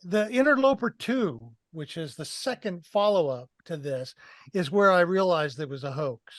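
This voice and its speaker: A man's voice: sits high, expressive, moderate pace, a bit bright, dry recording. Older man analysing a film, dry sound. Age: 60s. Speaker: film review podcast panelist. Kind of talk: casual discussion, analysing a film. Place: indoors, on a video call. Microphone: headset.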